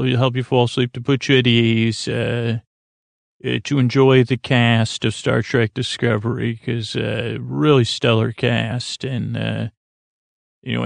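A man hosting a bedtime podcast in a meandering, halting monologue, often stammering and correcting himself, with a gravelly, creaky voice. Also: mid-range voice, no echo. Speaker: podcast host. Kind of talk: rambling bedtime-story monologue to help listeners sleep.

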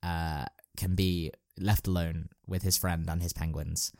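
A man's voice: low voice, level tone, measured pace, darkish tone, no room sound, studio quality. A man co-hosting a casual discussion, hesitant and often correcting himself as he thinks aloud. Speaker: podcast co-host. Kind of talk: casual chat about staging a radio play.

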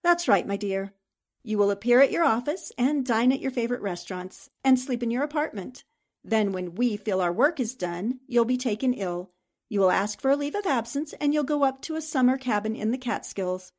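A woman's voice: a little high, animated, moderate pace, darkish tone. A woman playing a coolly sinister Nazi countess, silky and condescending, in old-time radio drama style. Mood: smug, threatening.

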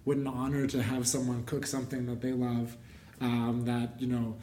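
A male podcast host in an echoey room, a little away from the mic. He chats casually with halting phrasing and little rise or fall in pitch. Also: monotone, mellow. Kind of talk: casual chat. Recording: roomy, distant mic, background noise.

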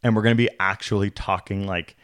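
A man's voice: fairly low pitch, fast, no echo, clean studio sound. A man co-hosting a podcast, tired and chatty, laughing and joking with his co-host. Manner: animated, laughing, joking banter. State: tired, fried from partying. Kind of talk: casual chat.

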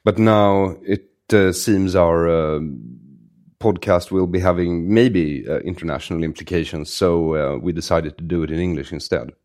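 Very deep-voiced Swedish-accented man. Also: fairly even intonation, normal speed, darkish tone. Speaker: podcast host. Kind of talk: read intro then casual conversation.

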